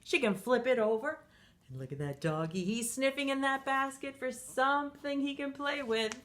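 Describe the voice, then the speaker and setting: A woman's voice: high voice, expressive, moderate pace, fairly bright tone, slight background noise. A middle-aged woman reading a picture book aloud to young children in a playful, sing-song manner. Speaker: children's storytime reader.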